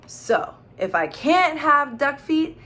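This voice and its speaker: A woman's voice: high voice, sing-song, slow pace, sharp tone. A woman teacher reading a children's storybook aloud, expressively, with questions and asides addressed straight to young listeners.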